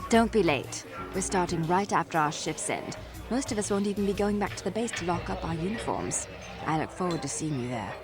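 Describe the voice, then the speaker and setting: Casting: friendly off-duty young officer for a game, slightly low, casual, music underneath. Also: female voice, expressive, fairly quick. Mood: upbeat, chatty. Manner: chatty, open, casual. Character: friendly, lonely young female junior military officer. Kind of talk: video game dialogue acting.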